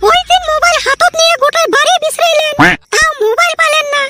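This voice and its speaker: A woman's voice: fast talker, very noisy. A woman voicing a quarrelsome, scatterbrained cartoon village wife with a Rajbongshi Bengali accent and an artificially raised voice. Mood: scolding. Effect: artificially raised cartoon pitch. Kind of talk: comic cartoon dialogue. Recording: loud background music.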